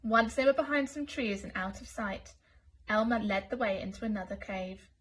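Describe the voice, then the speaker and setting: A woman storyteller reading a children's picture book aloud in an expressive, deliberate way. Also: mid-range voice, rough recording. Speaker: school storyteller.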